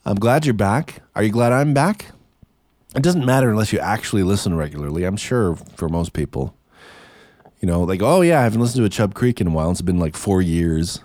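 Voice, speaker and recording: A man hosting his podcast in a hoarse, gravelly voice, chatting casually and laughing at himself. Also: fairly low pitch, darkish tone, dry recording, clean studio sound. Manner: chatty, self-deprecating, laughs often. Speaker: podcast host. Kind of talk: rambling casual monologue. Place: in his car for the later part.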